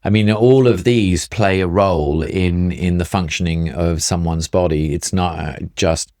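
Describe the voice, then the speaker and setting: A man's voice: low-pitched, fairly even intonation, unhurried, darkish tone, dry recording, studio quality. A middle-aged or older man explaining things conversationally as a doctor, emphatic and repeating points for stress. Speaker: functional medicine doctor, guest.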